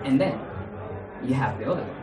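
A man's voice: brisk, a crowd in the background. A young man from Spain with a Spanish accent, performing a playful stand-up set on stage with a handheld mic in a small club. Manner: animated, playful, jumps into high mock voices for quotes. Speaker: stand-up comedian. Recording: roomy club sound from far off, audience laughing.

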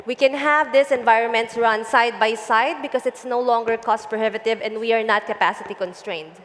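A woman with a fairly high voice speaks in a measured, explanatory way as the guest of an onstage tech interview. She wears a headset mic on a big conference stage, and the sound carries a long hall reverb. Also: fairly even intonation, fairly bright tone, slight background noise. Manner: measured, explanatory, some fillers. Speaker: guest, data engineering lead. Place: large conference stage before an audience.

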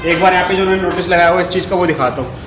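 A man's voice: high-pitched, fairly even intonation, racing, background noise. A young man giving a casual, chatty food vlog tour of a small restaurant, filming on a phone held at arm's length. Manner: chatty, addresses viewers as brother. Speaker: food vlogger. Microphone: handheld phone at arm's length.

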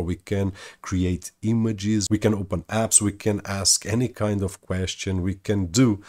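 A man in his thirties talks through a computer tutorial with a low, deep voice. He explains each step in a steady, upbeat way, like a tech YouTuber at his desk. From Portugal. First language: Portuguese. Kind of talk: tutorial walkthrough. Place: room indoors at a desk.

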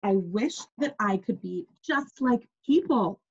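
A woman's voice: mid-range voice, lively intonation, takes it slowly, slightly rough, lo-fi sound, exaggerated voice. A woman voicing a small, wistful storybook chameleon who longs to be different. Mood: longing. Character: small chameleon, wishful, forever longing to be different.

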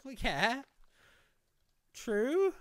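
A young Welsh man with a Welsh accent, streaming a survival game from a small room at home on a headset mic, excitable and joking as he talks to the game and to chat. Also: very high voice, drawn-out words, no room sound. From Wales. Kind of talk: live gameplay commentary.

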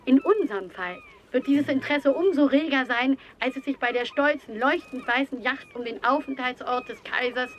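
A woman's voice: sits high, normal speed, hardly any pauses, muffled recording, slight background noise, close to an everyday voice. A woman voicing an old magazine article in a formal, presentational scripted reading.

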